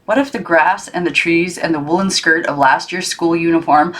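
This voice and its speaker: A woman reading a children's novel aloud as narrator, in an expressive storytelling style. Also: on the low side, normal speed. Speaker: audiobook narrator.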